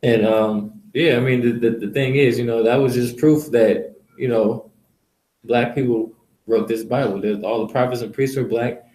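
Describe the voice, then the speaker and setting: A man's voice: mid-range voice, fairly even intonation, moderate pace, darkish tone. A man preaching casually to a small group, blunt and profane, rambling with many fillers. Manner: blunt, rambling, profane, repeats "you know". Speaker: street preacher leading a teaching session. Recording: echoey room.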